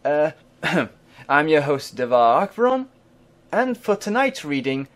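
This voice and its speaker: A man's voice: fairly high pitch, sing-song, slow pace. Wry man hosting a reading show. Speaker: host. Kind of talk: casual podcast chat.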